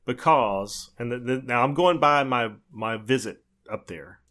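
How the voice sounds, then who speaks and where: A man's voice: medium pitch, unhurried, fairly bright tone. A man with a Southern US accent co-hosting a podcast, talking casually and often hesitating and repeating words. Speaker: podcast co-host. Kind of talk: casual chat.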